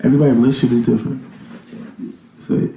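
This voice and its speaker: A man in his thirties talks casually as a guest in an interview. His voice is dark and mellow, and he rambles as he thinks out loud. The room around him is echoey. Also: mid-range voice, level tone, quick pace, vocal fry. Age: 30s. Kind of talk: casual chat.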